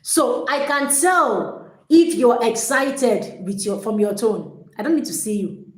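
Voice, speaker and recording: Lady coach, fired up and emphatic, kinda high voice, roomy echo on it. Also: a little slow. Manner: energetic, emphatic, repeats herself. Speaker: relationship coach. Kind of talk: motivational teaching talk. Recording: echoey room.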